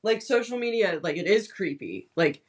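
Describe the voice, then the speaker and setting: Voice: medium pitch, very animated. A band member explaining a song in casual interview talk, hesitant and full of "um" and "like.". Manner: slow, hesitant, many fillers.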